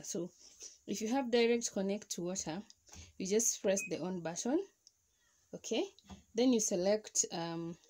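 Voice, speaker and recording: A young woman talks into a phone held close while she works at home. Her voice sits in a middle pitch, and she explains each step in a loose, chatty way. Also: mellow, slight background noise. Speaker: vlogger. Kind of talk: casual home how-to demonstration. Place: indoors at home beside a washing machine.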